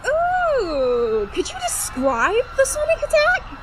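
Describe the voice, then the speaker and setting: A woman's voice: very high voice, huge pitch swings, takes it slowly, very noisy, full character voice. A woman playing a polite, analytical, curious teenage superhero in an audio drama, with precise phrasing. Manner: precise, inquisitive.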